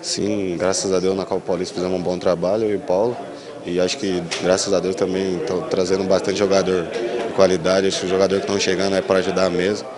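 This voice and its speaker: A young Brazilian football defender, a man with a very deep voice. He talks fast and matter-of-factly while a crowd chatters loudly behind him. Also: flat delivery. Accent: Brazilian Portuguese.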